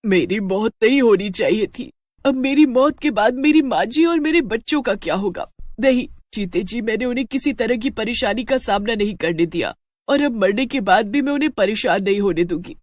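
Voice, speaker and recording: A woman's voice: on the high side, lively intonation, moderate pace, no echo. A woman as a dramatic, determined witch spirit. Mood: anguished, determined. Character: dead village woman turned protective witch spirit. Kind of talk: animated horror story acting.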